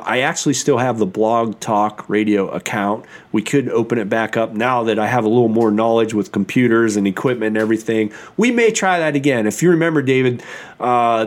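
A man hosts a casual podcast chat, his voice worn from a sore throat. He rambles enthusiastically, stacking up fillers as he goes. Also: medium pitch. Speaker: podcast host.

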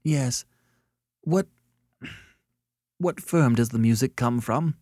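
A man voicing a polite, quiet young woman with a restrained, questioning manner in an audiobook story. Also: mid-range voice, slow pace, no room sound, studio quality, voice pushed up. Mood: puzzled. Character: polite, subdued young woman answering the telephone.

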